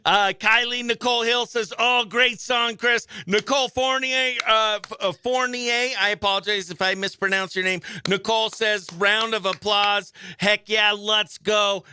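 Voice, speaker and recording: An American man hosting a morning radio show, chatting in an upbeat, effusive way with greetings and shout-outs. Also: sits high, clean tone, no echo. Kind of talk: chatty country radio show and livestream banter.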